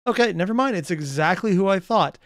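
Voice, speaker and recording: Male reaction host, slightly high smooth voice, casual questioning commentary, thinking aloud, very dry sound. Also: lively intonation, a little fast, a bit bright, no room sound.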